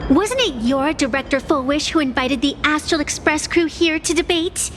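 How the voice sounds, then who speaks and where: A woman's voice: high voice, moderate pace, fairly bright tone, slight background noise. A woman voicing a cheeky, outspoken young girl in a video game, blunt and sassy. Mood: disapproving.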